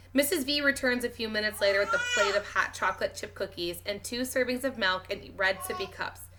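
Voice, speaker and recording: Female storyteller, teacher type. Bright tone, expressive and clearly phrased children's book narration. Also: medium pitch, slight background noise. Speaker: teacher reading a children's novel aloud. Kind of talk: expressive read-aloud narration.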